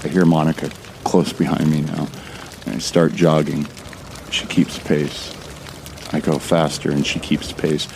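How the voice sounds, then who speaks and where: A man's voice: rumbling low, level tone, measured pace, creaky, background noise, heavily acted. An actor playing a tense, bitter man who narrates his horror ordeal in a brooding, profane first person. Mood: angry. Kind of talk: scripted audio drama narration. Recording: music behind the voice.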